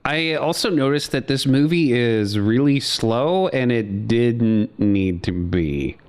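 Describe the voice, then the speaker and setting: A young man co-hosting a casual movie podcast, sarcastic and lively, often dropping into goofy mock voices. His voice is smooth and clear, sitting at an ordinary middle pitch. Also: takes it slowly. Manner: animated, sarcastic, mimics characters in goofy voices. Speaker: podcast co-host. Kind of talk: casual chat, movie review.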